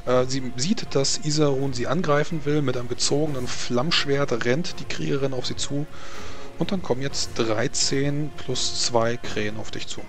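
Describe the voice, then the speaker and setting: A man's voice: medium pitch, moderate pace. Male game master over music. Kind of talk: running an online fantasy tabletop game, improvising. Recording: music playing under the voice.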